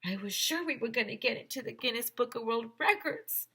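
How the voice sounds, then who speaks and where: A young schoolboy, read by a woman, earnest and a bit of a wisecracker. The voice is bright and raised, with matter-of-fact questions in storybook style. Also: on the high side, expressive, fairly quick, breathy edge, voice pushed up, exaggerated voice. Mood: puzzled.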